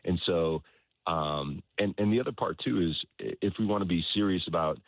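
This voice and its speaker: A man speaking as a soccer coach in an interview, explaining things in a halting way, repeating words and often checking in with the listener. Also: deep voice. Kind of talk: conversational sports interview, explaining his coaching philosophy. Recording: roomy echo, dull lo-fi sound.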